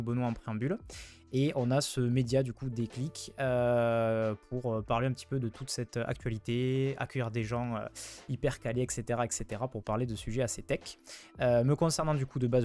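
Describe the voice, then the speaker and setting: A man hosting a livestream, brisk and chatty as he welcomes viewers and introduces the channel. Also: mid-range voice, darkish tone. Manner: brisk, chatty, speeds up when greeting the chat. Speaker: host.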